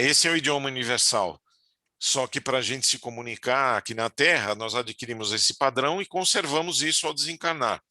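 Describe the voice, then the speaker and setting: A middle-aged man talks through spiritual ideas in an easygoing, conversational way, often ending his sentences with little tag questions. His voice is very bright and forward. The sound is close and dry, with no room around it. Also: medium pitch, no room sound. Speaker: spiritual teacher. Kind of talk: casual spiritual talk.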